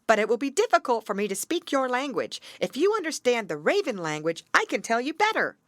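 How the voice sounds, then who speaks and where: A woman's voice: fairly high pitch, very animated, a little fast, no room sound, clean studio sound, voice pushed up. A woman voicing a grave, well-meaning talking raven in a storybook, earnestly explaining things. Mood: patient, explaining. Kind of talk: storybook character voice.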